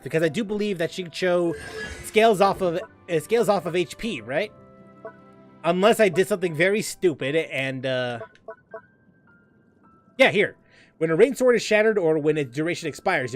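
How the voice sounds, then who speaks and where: Male game streamer, high and bouncy voice, chatty with chat, light music under him. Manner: thinks aloud while playing and answers chat questions. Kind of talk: live gameplay commentary.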